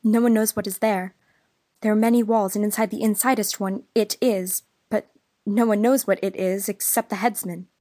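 A man voicing a frightened, secretive young girl from ancient times, speaking in urgent, hushed pleas. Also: high-pitched, fairly even intonation, normal speed, no room sound, pitched above the performer's own voice, lightly acted.

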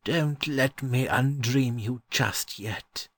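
A man voicing a gentle, wistful old scholar in an audiobook, musing fondly and haltingly. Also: mid-range voice, expressive, no echo, clean studio sound, pitched above the performer's own voice. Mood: confiding, wondering. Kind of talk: audiobook character voice.

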